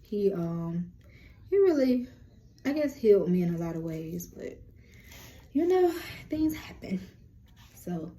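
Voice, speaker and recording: A young woman with a deep voice, hosting a rambling, casual lunchtime chat on her vlog. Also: mellow, slight background noise. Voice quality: low, deep voice. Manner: chatty, rambling, self-described yapper. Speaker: vlog host.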